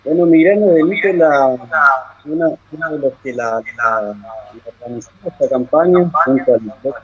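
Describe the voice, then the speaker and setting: A man from Chile in his thirties, a guest in a live interview, talking in halting, self-correcting phrases. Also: mid-range voice, breathy edge. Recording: dull lo-fi audio.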